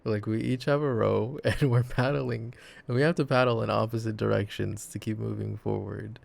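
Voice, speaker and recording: Casting: male actor as doting boyfriend for roleplay ASMR, deep register with fry, earnest, playful, rambling delivery. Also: steady tone. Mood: giggly, amused. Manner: rambling, giggly, tender, halting. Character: devoted, silly, affectionate boyfriend, earnest and reassuring.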